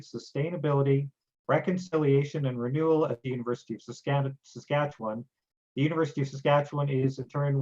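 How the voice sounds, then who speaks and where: Man reading formal introductions, lo-fi. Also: mid-range voice. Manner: reads names and titles carefully, occasional fillers. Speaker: event host. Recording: dull lo-fi sound.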